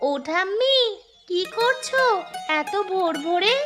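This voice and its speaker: A woman's voice: extremely high pitch, animated, slow, exaggerated voice. A woman voicing a chatty, cheeky little village schoolgirl in a cartoon. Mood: chatty, complaining. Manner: chirpy, talkative. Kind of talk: cartoon voice acting. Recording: music behind the voice.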